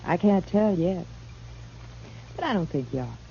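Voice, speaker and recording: A woman playing a coy, soft-hearted young saloon woman, speaking gently in a 1950s western radio drama. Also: on the low side, expressive, unhurried, vocal fry. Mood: coy, concerned. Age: young adult. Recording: muffled old radio, faint noise.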